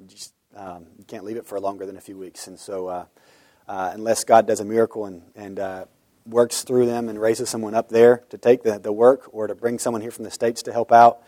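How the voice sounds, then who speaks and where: A man's voice: lowish voice, little pitch movement, a little fast, dark tone, no echo. A man pastor giving informal church announcements and prayer requests, earnest and full of "uh" fillers. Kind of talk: church announcements before sermon. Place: church sanctuary.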